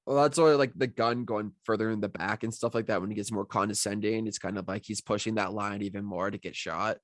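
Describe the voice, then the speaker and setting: A man's voice: fairly low pitch, steady tone, a little fast, no room sound, studio quality. A man talking casually on a video call as a writer discussing his work, rambling and cutting himself off. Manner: informal, rambling, self-interrupting. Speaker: writer of the script being discussed. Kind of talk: casual chat.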